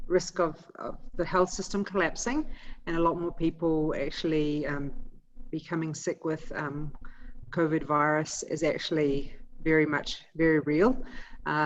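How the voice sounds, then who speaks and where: A woman in her forties from New Zealand, with a New Zealand accent, speaking earnestly and reflectively as a student ministry worker and pausing to search for words. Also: sits low. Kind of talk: informal talk on a video call. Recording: slightly muffled video-call audio.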